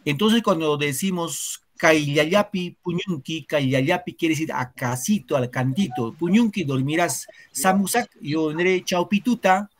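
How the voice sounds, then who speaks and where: A man's voice: fairly high pitch, a little slow, few pauses. A man, teaching an online literature class to secondary students in an earnest, reflective way, often asking rhetorical questions. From Peru.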